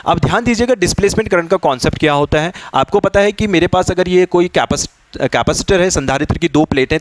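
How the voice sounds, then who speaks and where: A man speaks in a somewhat high-set voice, recorded dry with hardly any room sound. He teaches like an exam coach, working through a problem step by step. He often repeats his points and slips English technical terms into his explanation. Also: no room sound. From India. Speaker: physics teacher for exam preparation. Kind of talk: explanatory lesson working through practice questions.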